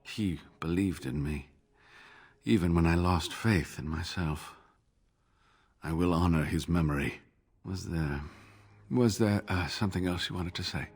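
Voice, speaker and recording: An earnest male scholar from a fantasy game, his deep voice husky and grained. He speaks in formal, measured sentences, devout and driven by his life's work. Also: heavily acted. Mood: grieving. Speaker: game character. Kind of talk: voiced video game dialogue.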